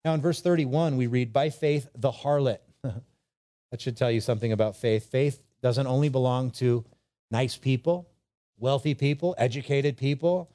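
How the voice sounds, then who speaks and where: A man's voice: mid-range voice, normal speed, warm tone. A man preaching a conversational Bible sermon, informally acting out imagined replies and repeating phrases for emphasis. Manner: rhetorical questions and repetition for emphasis.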